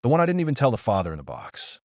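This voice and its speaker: A man's voice: medium pitch, wildly swooping pitch, very fast, dry recording, studio quality. A man playing a deranged, pious, controlling middle-aged father in a scripted audio drama monologue, sanctimonious and coldly self-justifying in short emphatic phrases. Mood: fanatical, menacing.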